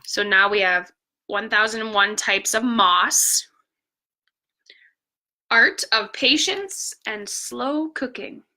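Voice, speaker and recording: A woman's voice: medium pitch, takes it slowly, pauses often, sharp tone. A woman reading a picture book aloud as a bedtime story, drawing out words for emphasis and adding asides to her viewers.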